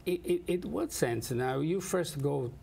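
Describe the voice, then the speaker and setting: A man interviews a guest, his questions halting and full of restarts. His mid-pitched voice is gravelly, with faint noise behind him. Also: a little mellow. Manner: halting, with many restarts and fillers, non-native phrasing. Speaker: interviewer, host.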